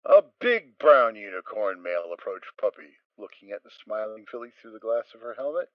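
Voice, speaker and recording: Expressive male story narrator. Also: fairly low pitch, a little fast, dry recording. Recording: dry, dull sound.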